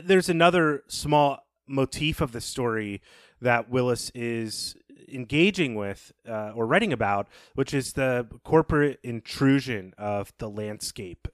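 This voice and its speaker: A man with a medium-pitched voice speaks as a podcast co-host in analytical literary discussion. He sounds academic and rephrases himself as he goes, in a very dry recording. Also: fairly bright tone, dry recording.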